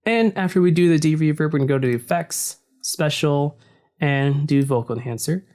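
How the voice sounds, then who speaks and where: Deep-voiced man explaining casually. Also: normal speed. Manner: relaxed and explanatory, with frequent "so" and "uh". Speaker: tutorial narrator. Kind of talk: casual screen-recording walkthrough. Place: home room without soundproofing. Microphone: desk mic facing the computer screen.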